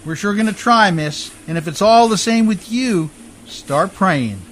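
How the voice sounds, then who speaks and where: A man's voice: sits high, animated, measured pace, noisy background. An actor in a radio play playing a humble, plucky riverboat man with folksy, rustic diction, deferential and plain-spoken. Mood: urgent, resolute.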